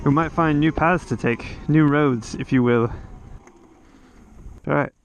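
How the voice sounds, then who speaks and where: A man's voice: fairly high pitch, a little slow, lo-fi sound. A man from the United States, hosting his own motorcycle vlog and chatting casually and thoughtfully as he rides. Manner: easygoing, musing, chatty with fillers. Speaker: motovlogger host. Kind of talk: casual vlog chat while riding. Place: outdoors on an electric motorcycle. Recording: clear outdoor and road noise.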